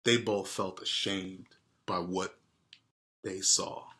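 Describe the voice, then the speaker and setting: Slow-talking man reading aloud, lowish voice. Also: level tone, bright, forceful tone, a little hiss or hum. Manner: deliberate, addresses listeners directly. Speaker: read-aloud host. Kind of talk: storybook read-aloud with casual asides to listeners.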